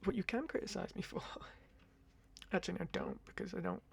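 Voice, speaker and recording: A young man in his twenties with a high-pitched voice, rambling casually to camera in a chatty, self-deprecating ASMR talk on a close mic. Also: a little fast, vocal fry, breathy edge. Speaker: ASMR creator. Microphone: close condenser mic.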